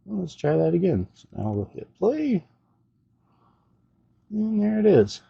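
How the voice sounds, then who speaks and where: Gravelly, husky man, casual gadget reviewer. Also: sits high, sing-song, unhurried, dry recording, muffled recording. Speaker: host of a gadget review video. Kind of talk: casual unscripted product demo and review.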